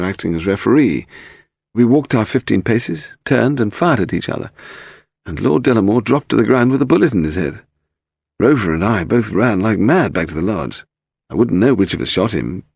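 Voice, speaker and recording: Fretful ageing upper-class gentleman, acted by a male reader, effete, high and animated, for a mystery audiobook. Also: gravelly, no room sound, clean studio sound, exaggerated voice. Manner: excitable, affected upper-class speech. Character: fretful, effete sixty-year-old English gentleman confessing a duel.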